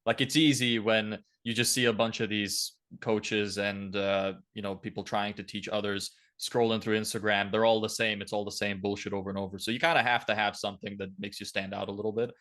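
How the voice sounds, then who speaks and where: A man's voice: fairly low pitch, little pitch movement, fairly quick, few pauses, a bit bright. A twenty-year-old man from Lithuania giving blunt, opinionated, casual answers as a podcast guest.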